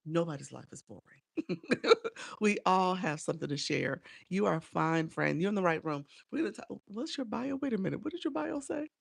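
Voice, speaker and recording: A woman's voice: on the low side, lively intonation, moderate pace, vocal fry, husky, no echo, studio quality. A woman coaching on a casual livestream, chatty and encouraging as she greets viewers by name, sounding a bit congested and nasal from a cold. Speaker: live streaming coach.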